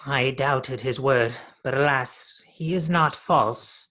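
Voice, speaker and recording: A woman's voice: extremely low pitch, slow pace, fairly bright tone, full character voice. A woman acting an earnest, defiant underling in a radio play, with a theatrical, declamatory delivery over a video call. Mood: grave.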